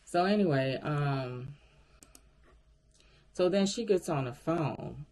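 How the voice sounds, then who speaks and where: A woman with a deep voice telling a story to her viewers in a casual, animated way. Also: slow. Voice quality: deep, gravelly voice. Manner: chatty and animated, addresses viewers directly. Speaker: vlogger.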